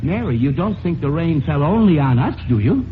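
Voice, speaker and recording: A man's voice: on the high side, very animated, brisk, phone-quality sound. Flustered, blustering father, noisy old radio. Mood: grumbling. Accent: American. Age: middle-aged. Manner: grumbling, sarcastic, easily flustered. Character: exasperated, blustering middle-aged family father. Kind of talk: 1940s-50s radio comedy acting.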